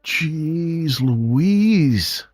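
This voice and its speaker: A man with a Dutch accent hosting a live show, excitedly commenting on pictures and calling out to his viewers. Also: on the high side, very slow, no echo, studio quality. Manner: excitable, exclaiming, talks to viewers as guys. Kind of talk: casual live-stream commentary on images.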